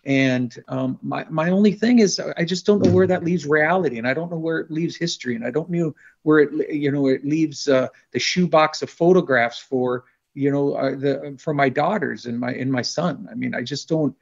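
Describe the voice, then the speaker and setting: A man's voice: on the high side, normal speed, vocal fry, hardly any pauses. A middle-aged man talking in an earnest, rambling way, repeating words as he gets worked up, in a video call discussion. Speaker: panel guest. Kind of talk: casual discussion. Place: indoor studio room on a video call. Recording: dull lo-fi video call audio.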